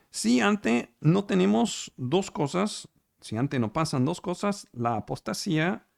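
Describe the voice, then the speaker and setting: A man's voice: fairly high pitch, gravelly, a little mellow, no echo, studio quality. A man preaching and teaching the Bible over a video call, speaking Spanish as a second language with emphatic repeated words and frequent check-ins with his listeners. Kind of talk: online sermon and Bible study. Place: home, online service.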